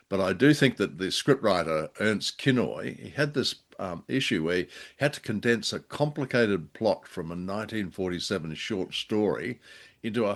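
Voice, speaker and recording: A man co-hosting a podcast, giving critique in a halting, deliberate way. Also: on the low side, a bit bright. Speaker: podcast co-host. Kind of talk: casual discussion and critique.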